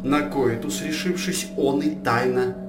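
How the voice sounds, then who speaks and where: An actor playing a cautious, legalistic royal chancellor in verse radio drama, declaiming formally and deliberately. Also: male voice, mid-range voice, over loud music, natural voice. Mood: gravely reasoning. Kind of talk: classical verse stage drama. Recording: roomy, distant radio-play recording.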